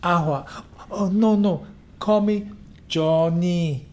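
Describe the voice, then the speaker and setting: An actor with a Singaporean accent playing a timid, earnest young beer delivery coolie in a radio play, pleading in hesitant broken English. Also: male voice, high-pitched, slow, darkish tone. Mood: shy, earnest.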